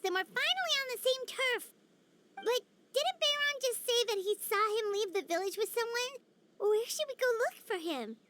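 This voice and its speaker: Very high, childlike little fairy sidekick. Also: female voice, noisy background. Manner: exclamatory, chirpy, speaks of herself in third person. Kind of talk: animated video game voice acting.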